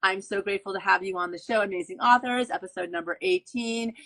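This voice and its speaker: Bubbly lady host raving about a book, bright tense voice, repeats stuff. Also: medium pitch, fast, vocal fry. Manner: effusive, gushing, repeats phrases. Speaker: podcast host. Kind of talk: enthusiastic interview wrap-up.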